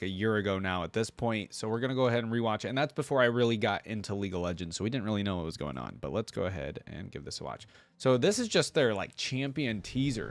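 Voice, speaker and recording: A man's voice: on the low side, no echo. A man giving casual, enthusiastic, slangy reaction commentary as the host of a video. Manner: informal, slangy, enthusiastic fan talk.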